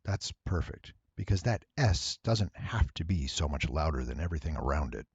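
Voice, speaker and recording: A man with a low, slightly rough voice walks through a tutorial. He explains deliberately and with emphasis in a very dry, close recording. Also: sing-song, no room sound, lo-fi sound. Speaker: voice actor giving an audio editing tutorial. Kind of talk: explanatory screen-recording narration.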